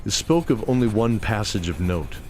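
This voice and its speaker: A man's voice: on the low side, lively intonation, normal speed, very noisy. A man narrating a scripted fantasy audio drama as its storyteller. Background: prominent background music.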